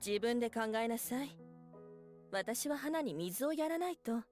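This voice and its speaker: Haughty female fox spirit for an anime-style game, played by a woman, brisk delivery, cold and dismissive scolding over music. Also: a little high, expressive, darkish tone, close to an everyday voice. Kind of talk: Japanese visual novel voice acting.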